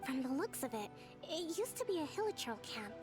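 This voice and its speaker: A woman voicing a composed young priestess and strategist in a video game, polite and measured. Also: high voice, sing-song. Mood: calm. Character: young female priestess and calm grand strategist. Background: faint game music.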